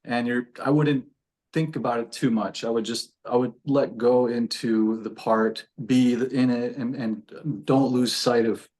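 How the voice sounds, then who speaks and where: A man's voice: mid-range voice, fairly even intonation, measured pace, darkish tone. A man giving casual advice in a group discussion, earnest and rambling, often repeating himself.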